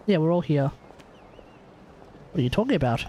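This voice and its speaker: A woman's voice: deep voice, lively intonation, normal speed, dark tone, slight background noise. A woman doing casual live commentary as she plays through a game. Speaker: game streamer. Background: game music.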